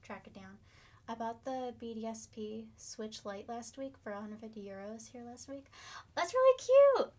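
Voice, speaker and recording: A young woman, a bit hoarse, chatting casually with her viewers on a live stream. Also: a little high, expressive, moderate pace, darkish tone. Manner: chatty, upbeat, reads viewer comments. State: slightly hoarse, losing her voice.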